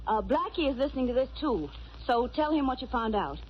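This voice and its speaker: Brisk, wry radio sleuth's assistant. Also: female voice, high-pitched, lively intonation. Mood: brisk, efficient. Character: brisk, wry, loyal young female detective's assistant. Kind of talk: 1940s radio acting. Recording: noisy, muffled old radio recording.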